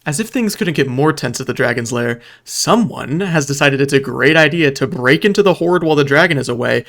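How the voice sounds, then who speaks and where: A man's voice: fairly high pitch, animated, a little fast, fairly bright tone. A man reading scripted recap narration in a storytelling style, with dramatic delivery and wry asides. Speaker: video narrator recapping a roleplay game event.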